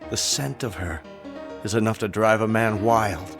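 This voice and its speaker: An actor playing an urbane, middle-aged bachelor playwright with a suave, formal way of speaking, in a radio drama. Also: male voice, on the low side, unhurried. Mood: ardent. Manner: suave, dry, formal phrasing. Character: urbane, aloof bachelor playwright, secretly romantic. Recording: studio radio drama with music underneath.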